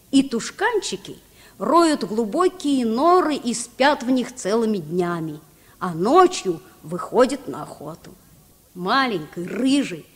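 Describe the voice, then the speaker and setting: A bright-minded boy captain lectures eagerly in a vintage children's radio drama. The voice is high and swings expressively, ringing in a long reverberant hall. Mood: enthusiastic storytelling. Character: Dick Sand, brave, knowledgeable boy sea captain. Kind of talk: old Soviet children's radio play, lecturing.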